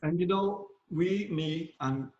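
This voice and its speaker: A man in his fifties giving fatherly advice in casual podcast talk, halting and repeating phrases as he goes. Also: a little high, darkish tone. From Philippines, moved to the US at nine, San Francisco Bay Area. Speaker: podcast host, parent of young adults. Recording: roomy echo, slightly dull sound.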